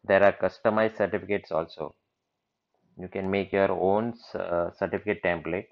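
Low-voiced man in a software walkthrough. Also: fairly even intonation, vocal fry, dull audio. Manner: plain instructional, slow and matter-of-fact. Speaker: software demonstrator. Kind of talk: screen-recorded software walkthrough.

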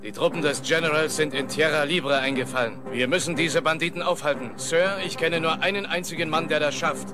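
A man's voice: a little high, a little fast, few pauses, lightly acted. An actor playing an earnest military man in a dubbed eighties action cartoon. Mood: grave, urgent. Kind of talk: dubbed 1980s cartoon acting. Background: music.

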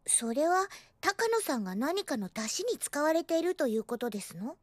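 High-voiced, prim anime little girl. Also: big pitch swings, normal speed, no echo. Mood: guarded, frank. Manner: affectedly ladylike, prim phrasing. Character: prim young girl, cheeky, guarded. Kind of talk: anime drama dialogue.

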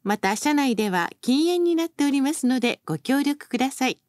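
A woman's voice: high voice, expressive, fairly quick, a little mellow, no echo, studio quality. A woman reading scripted bus announcements as a recorded announcer, in a formal, polite and carefully enunciated manner.

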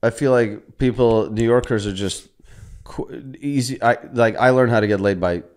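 Blunt East Coast man, middle-pitched, joking. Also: normal speed, a little mellow, smooth. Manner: blunt, crude, self-deprecating. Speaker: podcast host. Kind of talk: comedic podcast banter. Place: indoor studio. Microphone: close boom-arm studio microphone.

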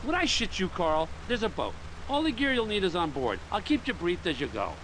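Casting: brisk American government agent, middle-aged, sardonic, rapid lively delivery, clear background vehicle noise. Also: male voice, sits high. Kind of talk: video game cutscene acting. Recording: game audio over vehicle noise.

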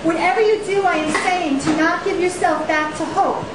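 A woman in her thirties giving a dramatic poetry reading, with emphatic, deliberate phrasing and rhetorical repetition. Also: very high voice, fairly even intonation. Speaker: poet reading own work. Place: small indoor poetry venue on stage. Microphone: stand microphone, picked up by camera. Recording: echoey live room, distant camera, faint hiss.

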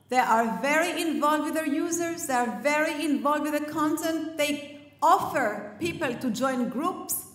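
Middle-aged woman, high voice, emphatic conference speaker on a headset mic in a big echoey hall. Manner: measured, emphatic, list-like delivery. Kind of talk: prepared public speech.

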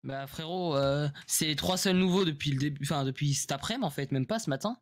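Higher-pitched man. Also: fast talker. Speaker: game server staff member. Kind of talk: casual voice chat.

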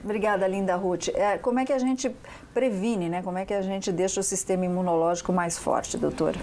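A woman's voice: medium pitch, steady tone, brisk, dark tone, a little hiss or hum. A woman, hosting a live TV talk show in a chatty, friendly way, recorded in a studio on a lapel mic. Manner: chatty, friendly, addresses co-hosts by nickname.

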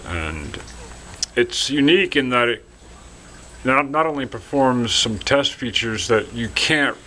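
A man giving a casual, halting product demonstration from behind a hand-held camera indoors, as if selling something. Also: medium pitch, expressive, pushed and bright, a little hiss or hum, rough recording. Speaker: seller demonstrating a restored vintage radio signal tracer. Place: dim indoor room, speaking from behind the camera.

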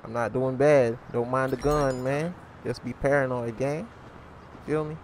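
A man's voice: mid-range voice, steady tone, unhurried, darkish tone, faint music behind. A man giving casual, slang-heavy commentary while playing a crime role-play game, calling his viewers gang. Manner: slangy, laid-back, calls viewers gang. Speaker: gaming YouTuber playing a role-play series.

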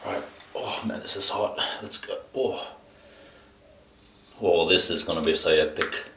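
A man's voice: sits low, measured pace, a bit bright. A young man giving casual commentary on an eating video, talking in short exclamations between bites, picked up from a little distance indoors. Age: young adult. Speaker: mukbang video host. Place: indoors against a plain dark backdrop. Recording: roomy, distant mic, faint noise.

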